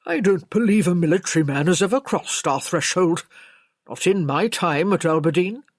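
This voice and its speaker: A woman's voice: on the low side, lively intonation, moderate pace, no room sound, studio quality. A woman voicing a stiff, formal, long-serving male servant in an audiobook. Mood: indignant. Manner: formal, stuffy.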